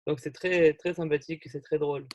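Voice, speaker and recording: A man's voice: fairly high pitch, fairly even intonation, fairly quick, a little mellow, dull audio. A young man hosting an online group meeting, talking in a friendly, rambling way with many fillers and restarts over a video call. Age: young adult.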